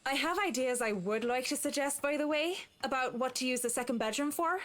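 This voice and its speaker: An actress playing a polite, eager interior designer in a visual novel game, speaking in an earnest, deferential way. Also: female voice, high voice, a little fast, a bit bright.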